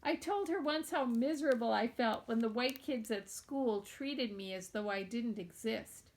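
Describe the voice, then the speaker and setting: A woman with a fairly high voice reads a children's novel aloud. She narrates expressively, telling the story in the first person as its young heroine. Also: unhurried, darkish tone, poor audio.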